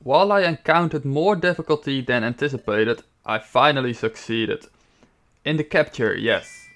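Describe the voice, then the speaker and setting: A man's voice: medium pitch, moderate pace, a bit bright, lightly acted. A man voicing a cold, formal male shadow creature who serves a master, reading game dialogue in a stiff, solemn way. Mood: grave.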